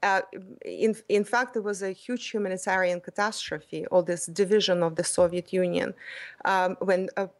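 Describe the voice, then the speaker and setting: A woman's voice: on the low side, steady tone, moderate pace. A middle-aged woman raised in Ukraine, a native Russian speaker, talking as a radio interview guest and explaining history with many ums and restarts.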